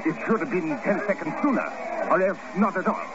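High-pitched agitated doctor, theatrical and swooping, vintage radio thriller, very noisy recording. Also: male voice, animated, a little fast. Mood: cold, accusing. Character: agitated male doctor, accusing and sharp-tongued. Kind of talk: 1950s radio drama acting. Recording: noisy dull old radio recording, crowd.

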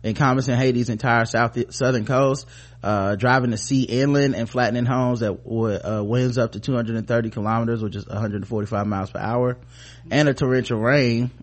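An American man co-hosting a podcast, casually talking through the news and reading bits of articles in a halting, informal way. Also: mid-range voice, steady tone. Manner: informal, halting, often trailing off mid-thought. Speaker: podcast co-host.

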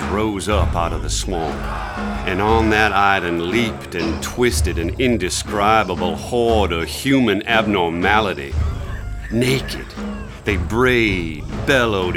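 An actor playing a grim, commanding police inspector who recounts a raid in a radio drama. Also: male voice, low-pitched. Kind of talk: radio drama acting with narration. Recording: music under the voice.

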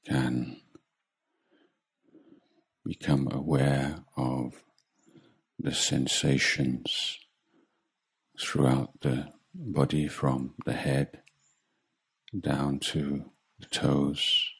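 A man leading a guided meditation as a teacher, speaking calmly in short, measured phrases with long pauses. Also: extremely low pitch, husky. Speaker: Buddhist monk meditation teacher.

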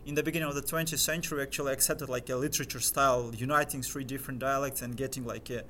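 A young man whose first language is Crimean Tatar, a guest talking casually in an interview in English and pausing often to search for words. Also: mid-range voice, fairly even intonation, fairly bright tone, slight background noise. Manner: hesitant, filler-heavy, searching for words.

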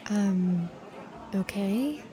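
A woman's voice: mid-range voice, animated, extremely slow, crowd noise. An actress playing a hesitant, compliant young woman at a summer camp, giving tentative replies in a horror audio drama. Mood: uneasy, tentative.

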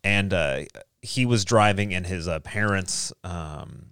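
A man's voice: deep voice, lively intonation, slow pace, fairly bright tone, smooth, no echo, studio quality. An American man hosting a podcast in a casual, chatty group style. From Fresno area, California. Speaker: podcast host.

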